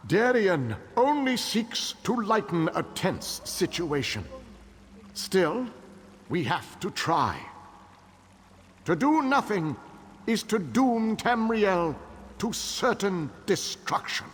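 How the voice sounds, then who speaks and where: An actor playing a noble, solemn king who rallies his allies in measured, formal speech in a fantasy game. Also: sits high, big pitch swings, slight background noise, heavily acted. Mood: grave, rousing. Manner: stately, measured, formal oratory. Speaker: war leader king. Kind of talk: dramatic fantasy game dialogue. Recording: long hall reverb.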